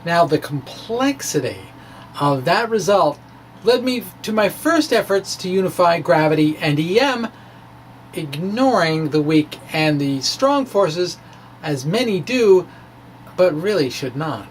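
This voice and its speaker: Middle-aged reader over clear background noise. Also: medium pitch, very animated, a little slow, rough recording. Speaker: amateur physicist and blogger. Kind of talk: informal dramatic reading of a science blog. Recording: background noise, ambient sound.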